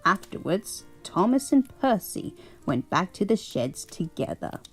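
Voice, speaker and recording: A doting old English grandmother, put on by a woman, reads a storybook at bedtime in a slow, husky voice. Her pitch rises and falls warmly as she chuckles and fusses over the child. Soft music plays faintly underneath. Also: big pitch swings, full character voice. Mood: cozy.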